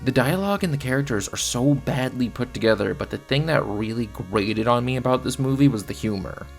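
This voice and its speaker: A man's voice: mid-range voice, animated, a little fast, gravelly, faint music behind, low-quality recording. A man giving scripted film review commentary in a blunt, sardonic manner. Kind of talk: scripted video essay commentary.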